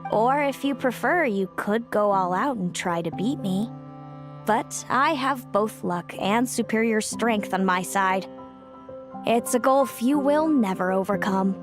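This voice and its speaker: A woman playing a fatalistic seer and confident competitor in a video game, speaking in a measured, solemn, self-assured way. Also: on the high side, lively intonation. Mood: confident challenge. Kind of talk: scripted video game voice acting. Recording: music behind the voice. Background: music.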